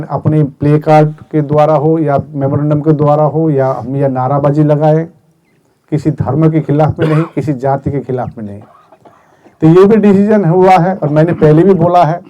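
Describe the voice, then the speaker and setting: A man's voice: on the high side, mellow, highs cut off. A man speaking as a movement spokesman at a press conference, earnestly setting out decisions one by one. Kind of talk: press statement.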